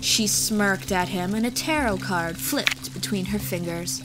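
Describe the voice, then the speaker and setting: A woman's voice: medium pitch, measured pace, low-quality recording. A woman reading scripted fantasy audiobook narration as the storyteller, with music behind her. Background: background music.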